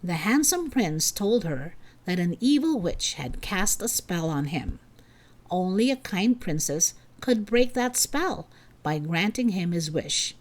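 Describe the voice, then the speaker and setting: Slow, expressive woman storyteller. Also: on the low side. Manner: expressive, measured. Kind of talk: scripted children's story narration.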